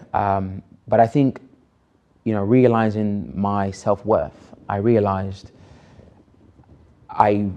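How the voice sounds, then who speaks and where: A young man in a soft, dark, mellow tone. His voice sits lowish and has a gravelly fry at the edges. He talks reflectively, pausing and restarting his sentences. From Nigeria. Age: 20s. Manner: hesitant, many restarts and "you know". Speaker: film director being interviewed. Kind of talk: reflective personal interview.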